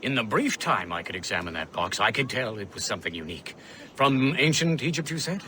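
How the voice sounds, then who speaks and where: A man playing an eager, chatty gentleman scientist in a video game, speaking with enthusiasm. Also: medium pitch, sing-song, fairly quick, slight background noise.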